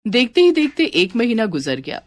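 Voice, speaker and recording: A woman's voice: a little high, big pitch swings, moderate pace. A woman storyteller reading a scripted story as narration.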